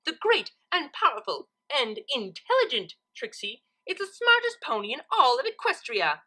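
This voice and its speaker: A woman's voice: high voice, sing-song, normal speed, bright, forceful tone, pitched above the performer's own voice, heavily acted. A woman voicing a vain female unicorn magician who brags in a grandiose, self-important way for a story reading. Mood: gloating. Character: boastful, vain female unicorn magician, third-person braggart.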